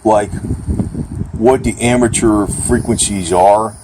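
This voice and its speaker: Low-voiced man rambling over outdoor noise. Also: steady tone, slow. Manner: rambling, blunt, mildly salty slang. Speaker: vlogger reviewing gear. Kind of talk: unscripted casual product chat. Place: outdoors under a carport. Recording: noisy outdoor recording with ambient hum.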